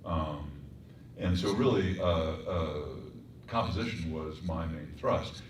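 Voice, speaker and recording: A man from Colorado with a very deep voice, a voice actor and composer telling wry stories on a stage panel. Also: slow pace. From Colorado, United States. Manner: storytelling, wry, reflective. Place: performing arts center stage. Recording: echoey hall, distant mic, faint hum.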